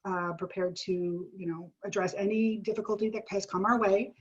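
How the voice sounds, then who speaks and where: A woman's voice: medium pitch, steady tone, moderate pace. A woman who works as an art therapist and clinical director, talking in a friendly, chatty way on a video call while getting over a sinus illness. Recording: dull lo-fi call audio.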